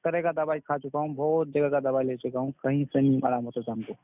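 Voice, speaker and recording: A man's voice: medium pitch, little pitch movement, fast, no room sound. A man calling by phone, speaking informally and very deferentially as a patient, often repeating himself. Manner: deferential, constantly says sir, repeats himself. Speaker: caller, patient giving a testimonial. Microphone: telephone. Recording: narrowband phone call recording.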